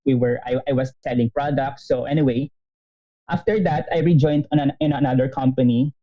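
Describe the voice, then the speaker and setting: A man in his thirties, a podcast guest telling his story in a casual, animated way, laughing often and restarting sentences. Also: mid-range voice, fairly quick, lo-fi sound. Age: 30s.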